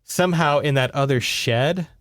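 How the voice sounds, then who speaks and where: A man's voice: on the high side, normal speed, fairly bright tone, no echo, studio quality. A man hosting a podcast, giving a casual, rambling, sweary book report in conversation. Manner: informal, sweary, rambling storytelling.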